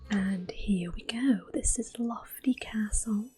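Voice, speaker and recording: Whispering woman, soft ASMR game commentary. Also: mid-range voice, slow. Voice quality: soft, breathy, mostly whispered. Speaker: video game streamer. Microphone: close microphone. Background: soft game music.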